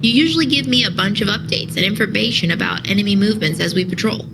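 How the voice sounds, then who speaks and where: A woman's voice: medium pitch, quick pace, vocal fry, natural voice. A woman playing a blunt, fiery sci-fi fighter in an audio drama. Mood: probing. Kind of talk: audio drama character acting. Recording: distant sound with noisy ambience.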